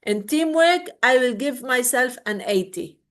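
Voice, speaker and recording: A woman's voice: fairly high pitch, expressive, measured pace, fairly bright tone. A woman from Lebanon with a Lebanese Arabic accent, leading an interactive online workshop and often checking in with "okay?". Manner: repeats words, checks in with "okay?". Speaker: webinar trainer.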